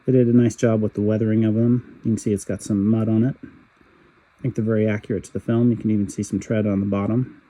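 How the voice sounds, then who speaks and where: A man narrating a toy figure review, a little raspy from a cold, in a steady, matter-of-fact way. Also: on the low side, fast talker, poor audio. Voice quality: husky. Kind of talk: calm voice-over product review.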